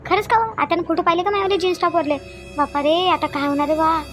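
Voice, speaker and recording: Woman as naive young bride, Varhadi Marathi accent, high-pitched, rapid, plaintive family-drama acting. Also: steady tone, a bit bright, soft background music. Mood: alarmed. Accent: Varhadi Marathi of Vidarbha. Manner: plaintive, innocent. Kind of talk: amateur family comedy-drama dialogue.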